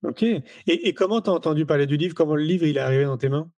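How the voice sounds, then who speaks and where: A man's voice: on the high side, animated, fast, a little mellow, dry recording. A man hosting a podcast, chatting informally with readers and asking follow-up questions. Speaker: podcast host interviewing readers. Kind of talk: casual conversational interview.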